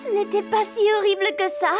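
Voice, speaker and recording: A woman playing a fashion-loving teenage girl, proud but affectionate, in a French cartoon dub, expressive and girlish. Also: very high-pitched, a little fast. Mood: pleased. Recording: music behind the voice.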